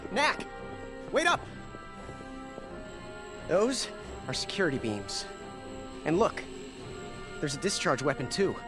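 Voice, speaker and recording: Urgent, animated young sidekick. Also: male voice, on the high side, slow, pauses often, over music, exaggerated voice. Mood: alarmed.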